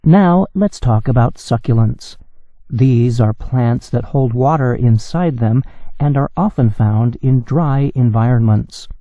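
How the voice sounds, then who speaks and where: A man reading a news article in a measured, evenly paced way for English learners. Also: medium pitch, warm tone, no room sound, highs cut off. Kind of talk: slow, clear scripted reading.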